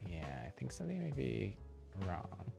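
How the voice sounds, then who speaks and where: Male game streamer, low gravelly voice, unhurried rambling delivery, casual, faint music behind. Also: wildly swooping pitch. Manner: rambling, thinking aloud. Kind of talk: casual livestream chat while playing.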